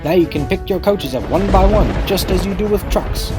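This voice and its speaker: A man's voice: sits high, lively intonation, moderate pace, loud music behind the voice, pitched above the performer's own voice. A man voicing a firm, supportive train driver in a storybook, coaching with urgency. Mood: instructing.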